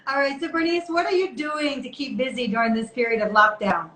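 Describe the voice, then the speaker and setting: A woman's voice: high voice, brisk, pushed and bright. A woman hosting a chatty live online interview in a playful, teasing, enthusiastic way. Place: indoor room, online video call. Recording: echoey room with background noise.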